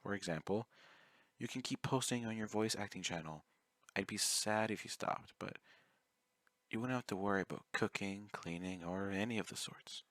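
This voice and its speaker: Casting: male actor as obsessive yandere stalker for ASMR roleplay; low, close and dry, coaxing, politely menacing. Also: normal speed, fairly bright tone, no echo, natural voice. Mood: coaxing, possessive. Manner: intimate, coaxing, courteous while threatening. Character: obsessive, clingy, soft-spoken young male yandere fan, politely menacing.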